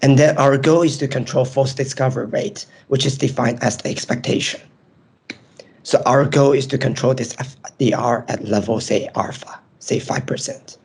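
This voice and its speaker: Hoarse man with a strained voice. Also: mid-range voice, steady tone, moderate pace, a little mellow. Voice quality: raspy, strained. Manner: explains step by step with frequent ums. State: hoarse, nearly lost voice. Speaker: presenting researcher. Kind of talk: academic webinar presentation.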